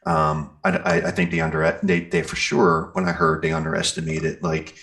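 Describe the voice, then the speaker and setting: Very deep, gravelly-voiced man chatting. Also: level tone, normal speed, fairly bright tone. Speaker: guest, metal band singer and guitarist. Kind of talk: casual interview chat, recounting festival gigs.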